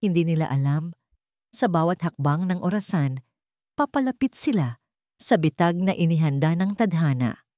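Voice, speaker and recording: A woman's voice: fairly low pitch, animated, moderate pace, pauses often, mellow, no room sound, studio quality. A woman reading a scripted, dramatic story as its narrator.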